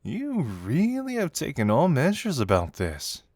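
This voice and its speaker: A man's voice: on the high side, huge pitch swings, unhurried, slight background noise. A man acting a playful, teasing young male detective in an audio roleplay, musing theatrically to the listener. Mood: teasing, intrigued. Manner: teasing, theatrical, musing hums and soft chuckles.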